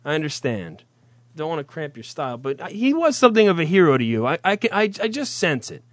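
A man's voice: on the high side, very animated, quick pace, fairly bright tone, dry recording. A man hosting an internet radio show, teasing and profane in casual comic banter.

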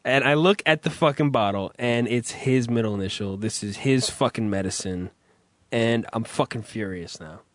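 Raspy-voiced man, profane podcast host. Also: mid-range voice, normal speed, dry recording. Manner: profane, rambling storyteller. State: raspy from a cough. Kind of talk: crude comedic podcast chat.

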